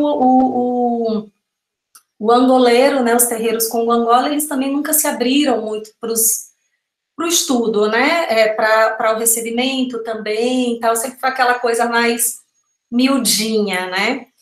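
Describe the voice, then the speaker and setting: A woman giving a reflective, explanatory talk as a panel speaker on a video call, often checking in with tag questions. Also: on the high side. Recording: roomy video-call audio.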